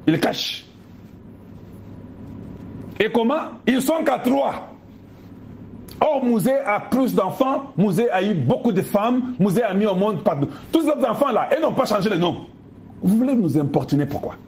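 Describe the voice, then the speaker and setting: A man with a Congolese French accent speaks as a political commentator. His voice sits a little high and swings widely as he presses pointed rhetorical questions. A faint hiss of background noise runs under him. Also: very animated, normal speed, pauses often, darkish tone. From Democratic Republic of the Congo. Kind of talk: impassioned monologue addressing viewers.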